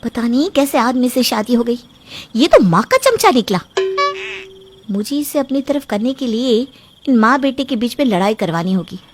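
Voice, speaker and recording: A manipulative young wife from a cartoon family story, as a woman voices her, with a high, thin voice. She whines and puts on tears in a theatrical way. Every line sounds like a calculated act. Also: lively intonation, normal speed, long pauses, slight background noise, lightly acted. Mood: plotting. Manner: theatrical, whining, fake tearful.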